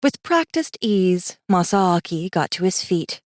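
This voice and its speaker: A woman narrating a fiction audiobook in an expressive, scripted storytelling style. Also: fairly low pitch, unhurried, no room sound, studio quality.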